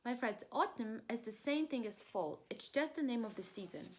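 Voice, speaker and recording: A woman preschool teacher reading a picture book aloud, encouraging the children and asking them questions with pauses for answers. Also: a little high, expressive, very noisy.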